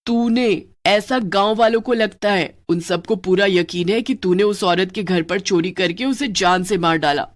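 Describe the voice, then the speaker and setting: A woman's voice: mid-range voice, measured pace, few pauses, natural voice. A woman voicing a loyal female witch who scolds her friend with urgency. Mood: earnest. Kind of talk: cartoon voice acting.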